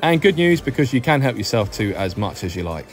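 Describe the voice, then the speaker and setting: A man's voice: medium pitch, sing-song, a little fast. A man hosting a review vlog in a scripted voice-over, speaking phrase by phrase with falling endings in an upbeat manner. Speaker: hospitality review host. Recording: music behind the voice. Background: background music.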